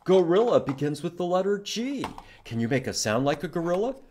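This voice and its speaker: A man's voice: high voice, big pitch swings, fairly quick, darkish tone, slight background noise. A man hosting a children's library storytime, reading and teaching letters in simple, repeated phrases and prompting young listeners to join in.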